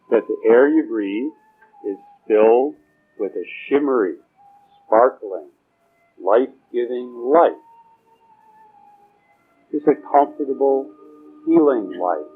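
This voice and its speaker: A man leading a guided meditation, giving slow spoken instructions in a soothing, permissive and informal way, with pauses. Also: mid-range voice, slightly rough. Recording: dull, muffled lo-fi recording with music.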